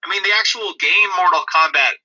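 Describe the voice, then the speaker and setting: A man's voice: on the high side, fast, pushed and bright, slightly rough, highs cut off. A man co-hosting a movie review podcast, chatting in a dry, disparaging way. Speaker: podcast co-host.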